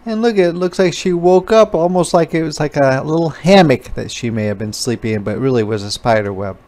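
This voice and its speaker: A man reading a children's storybook aloud as a teacher, pausing to explain words. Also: on the high side, a little mellow.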